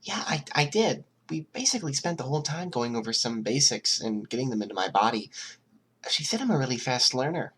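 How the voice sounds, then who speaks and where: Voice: medium pitch, expressive, fairly quick, fairly bright tone, slightly rough, voice pushed up. A performer voicing an anxious, polite teenage boy, hesitant and earnest, in an amateur audiobook. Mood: eager, earnest.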